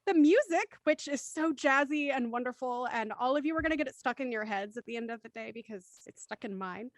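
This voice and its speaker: A woman's voice: sits high, expressive, a little fast, no room sound. A woman hosting a livestreamed cast chat and signing off the show, chatty and laughing between lines. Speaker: host.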